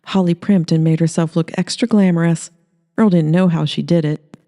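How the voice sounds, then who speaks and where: A woman's voice: fairly low pitch, steady tone, moderate pace, warm tone. A woman reading scripted fiction aloud as a storyteller. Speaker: author reading aloud, host.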